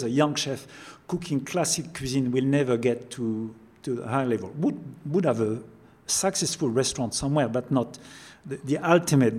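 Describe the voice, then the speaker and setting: A man's voice: mid-range voice, a little mellow. An older man with a French accent, a chef answering interview questions, often repeating words as he searches for phrasing. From France. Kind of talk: interview conversation.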